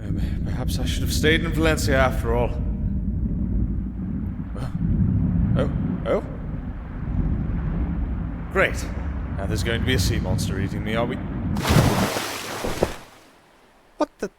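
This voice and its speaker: A man's voice: medium pitch, expressive, normal speed, pauses often, heavy background noise, close to an everyday voice. A man acting a nervous, rambling young castaway musician with a sardonic streak, in a fantasy ASMR roleplay. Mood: panicked.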